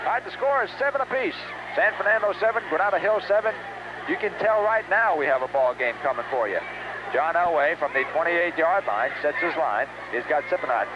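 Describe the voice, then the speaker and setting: A man's voice: a little high, expressive, a little fast, lo-fi sound, noisy background. An American man working as a radio sportscaster, calling a football game play by play in short, detailed phrases on an old 1970s broadcast. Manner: detailed running description in short clipped phrases. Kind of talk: live high school football radio commentary. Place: outdoor stadium game broadcast.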